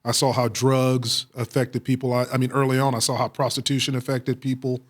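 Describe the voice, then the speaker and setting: A middle-aged American man from Texas answering interview questions with personal stories, speaking reflectively with frequent pauses and repeated phrases. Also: medium pitch, level tone. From Waco, Texas, United States. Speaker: police chief, interview guest.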